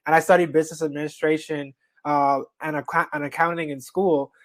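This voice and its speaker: Young guy from the bahamas, guest on a webinar, friendly and easygoing, kinda high voice, dry close sound. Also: little pitch movement, brisk, no echo. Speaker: guest investor. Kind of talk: casual interview talk.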